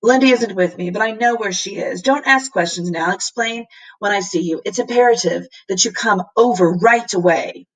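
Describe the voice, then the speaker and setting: A woman's voice: medium pitch, moderate pace, far from the mic, natural voice, rough recording. A woman voicing an anxious, commanding male professor in a storybook, urgent and authoritative.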